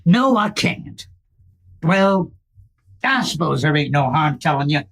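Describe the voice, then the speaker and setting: A woman's voice: deep voice, lively intonation, slow pace, exaggerated voice. A woman playing a cocky, knowing male outlaw lieutenant in a Western radio play, folksy and condescending, with an American accent. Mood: condescending, boastful.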